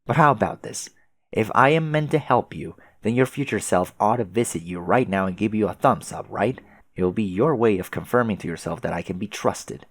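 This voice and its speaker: A man's voice: fairly low pitch, expressive, fairly quick, no echo. A man acting a teasing, pushy alien troll girl. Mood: eager, insistent. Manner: taunting, theatrically emphatic, formal wordy phrasing. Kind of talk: fan comic dub dialogue.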